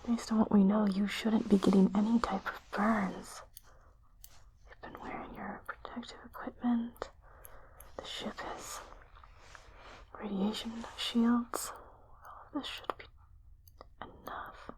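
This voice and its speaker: A woman playing a calm, clinical spaceship doctor in an ASMR roleplay, whispering breathily in a soothing, gently inquisitive way. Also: medium pitch, takes it slowly, pauses often, poor audio. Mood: curious, concerned. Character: calm, clinical female spaceship doctor examining an injured crewmate.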